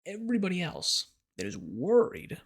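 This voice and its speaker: Brief: American male pundit, sarcastic and lecturing, rough fry-heavy voice. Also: high voice, wildly swooping pitch, normal speed. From United States. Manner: blunt and sarcastic, lecturing the listener. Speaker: political commentator. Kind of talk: opinionated monologue.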